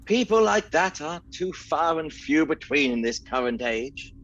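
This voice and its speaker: Jaunty captain, male actor, radio comedy: pleased with himself, animated, muffled sound. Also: a little high, unhurried. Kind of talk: comic radio drama acting.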